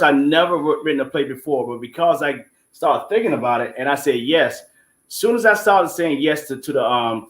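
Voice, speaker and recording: An animated man from Florida telling stories in a casual, folksy way as an interview guest. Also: mid-range voice. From Florida, United States. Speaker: guest, playwright. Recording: roomy echo.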